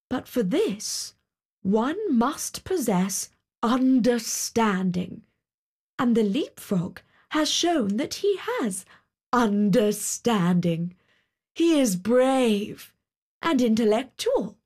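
A woman voicing a pompous storybook king who makes deliberate proclamations as judge and father. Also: on the high side, very animated, darkish tone, heavily acted. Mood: regal, pronouncing. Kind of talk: storybook character voice.

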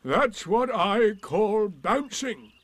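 A man's voice: high-pitched, animated, extremely slow, fairly bright tone, no echo, pitched above the performer's own voice, full character voice. A man voicing a gloomy, sulky old male donkey in a storybook reading, with a mournful, sarcastic drawl.

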